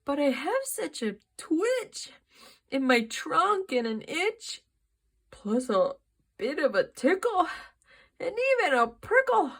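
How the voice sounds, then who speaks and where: A woman's voice: sits high, big pitch swings, takes it slowly, voice pushed up, full character voice. A woman voicing a big, timid male elephant in a storybook reading, fretful and apologetic. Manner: fretful, apologetic, then panicky.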